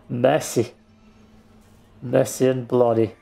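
A man's voice: mid-range voice, level tone, very slow, vocal fry, dark tone. A man, a German speaker, joking and self-deprecating as he comments on his gameplay. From Austria. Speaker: let's play host. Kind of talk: casual gaming commentary.

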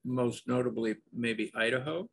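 An older man hosts a casual interview, easygoing and anecdotal. His mid-pitched voice has a gravelly edge, and he talks from a room at home over a video call. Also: a little slow, slight background noise. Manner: relaxed, anecdotal, asks open questions. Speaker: podcast host. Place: home studio room, indoors. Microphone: over-ear headphones, video call.

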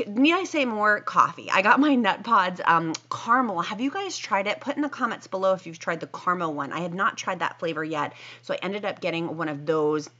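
A woman's voice: fairly low pitch, pushed and bright, dull audio. A woman in her thirties gushing through a grocery haul vlog, bubbly and upbeat, filmed on a handheld phone. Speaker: vlogger. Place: grocery store aisles, then home.

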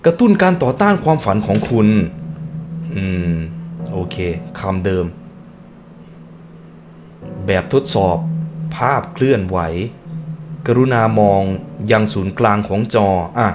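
A man's voice: on the low side, big pitch swings, slow, long pauses, noisy background. A man hosting a reaction video, reading text aloud and breaking off into casual musing remarks and hums.

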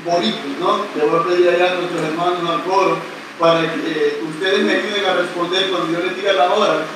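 A man's voice: high voice, steady tone, brisk. A man, a priest, giving a playful sermon to a large congregation, joking and leading them in call-and-response, recorded outdoors under a big covered pavilion from a camera far back in the crowd. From not Puerto Rican. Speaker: priest preaching. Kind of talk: sermon at a retreat Mass. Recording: echoey, distant, noisy outdoor pavilion.

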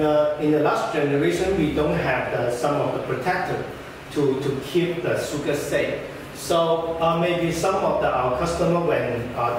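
Young man, slightly high voice, halting speech with many fillers, distant camera mic, noisy room. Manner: halting, frequent "uh" fillers, non-native phrasing. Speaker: product trainer. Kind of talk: presenting a product walkthrough. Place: indoor meeting room with projector screen. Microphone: far camera. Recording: echoey room from far off, background noise.

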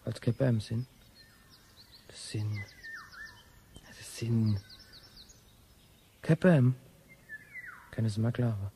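A man's voice: medium pitch, steady tone, slow, pauses often, mellow, slight background noise. An actor playing a wary, stubborn older woodsman who speaks tersely and colloquially in a radio drama. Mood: brooding, insistent.

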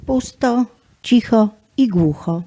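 Slow-spoken, sly goddess, played by a woman. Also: fairly high pitch, lively intonation, warm tone, exaggerated voice. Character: Eris, sly self-assured goddess of discord. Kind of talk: amateur radio play acting.

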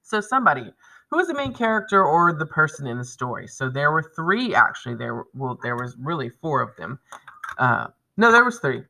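Male schoolteacher, thirties, lively pitch movement and bright tone, explaining and reading to kids on a lesson video. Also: brisk, vocal fry, low-quality recording. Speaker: elementary school teacher.

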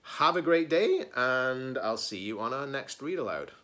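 Middle-pitched man, teacher-librarian addressing pupils. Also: animated, measured pace, sharp tone. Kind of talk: read-aloud video sign-off to students. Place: small room at home, indoors.

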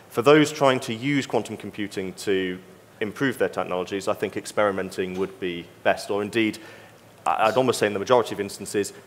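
A middle-aged man speaking as a consultant in an unscripted conference panel discussion, measured and careful to qualify his points. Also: lowish voice, slight background noise. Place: on stage in a large conference hall. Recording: reverberant conference hall.

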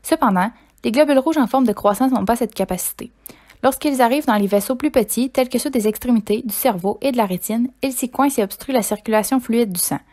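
A young woman student reads a voice-over from a script at a quick pace. Her voice sits a little high. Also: darkish tone. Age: young adult. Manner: read script. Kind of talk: voice-over narration.

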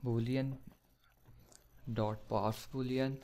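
Slow gravelly man dictating code. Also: medium pitch, steady tone, warm tone, a little hiss or hum. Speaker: programming tutor. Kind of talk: screencast coding tutorial.

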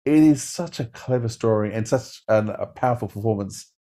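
A middle-aged man in casual podcast review chat on a video call, enthusiastic but halting. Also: lowish voice, mellow. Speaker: podcast co-host reviewing audio dramas. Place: home room, on a video call.

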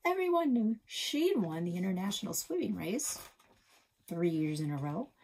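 A woman in her forties reading a children's book aloud expressively from a small office, often dropping to a soft whisper, recorded on a desk webcam. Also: medium pitch, big pitch swings, normal speed. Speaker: library storyteller. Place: small office with bulletin board.

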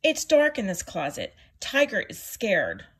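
A woman's voice: medium pitch, huge pitch swings, a little slow, breathy edge, exaggerated voice. A woman voicing a meek, childlike male tiger in a storybook, contrite and pleading. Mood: scared. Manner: contrite, pleading, speaks of himself in third person. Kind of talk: storybook character acting.

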